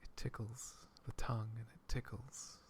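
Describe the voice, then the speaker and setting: A man voicing a calm, kindly male dragon in a soft-spoken ASMR roleplay, speaking slowly and soothingly with a gravelly whisper close to the mic. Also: mid-range voice, close to an everyday voice. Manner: slow, hushed, comforting. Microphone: close sensitive ASMR mic.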